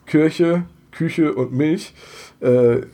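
Mellow-voiced podcaster with a Bremen accent. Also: male voice, fairly high pitch, drawn-out words. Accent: Bremen German. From Bremen, Germany. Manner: chatty, storytelling, frequent hesitations. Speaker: podcast host. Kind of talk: casual chat.

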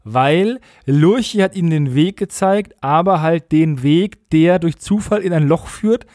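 A man's voice: a little high, measured pace, a little mellow, no echo, studio quality. A man recapping stories as a podcast co-host in a colloquial, humorous, mockingly opinionated way. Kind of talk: casual humorous episode recap.

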